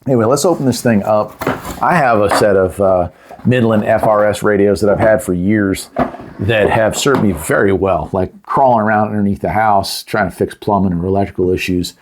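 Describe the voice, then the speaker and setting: Low-voiced, folksy man reviewing gear. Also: moderate pace, background noise. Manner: folksy, chatty, plain-spoken. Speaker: host of a product review channel. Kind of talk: casual unscripted product review.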